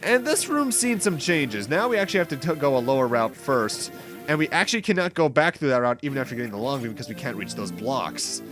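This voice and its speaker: A man's voice: medium pitch, lively intonation, fast talker, fairly bright tone. A man giving let's play commentary as host, rambling through explanations with dry asides. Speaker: gameplay host. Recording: game music under the voice. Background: video game music.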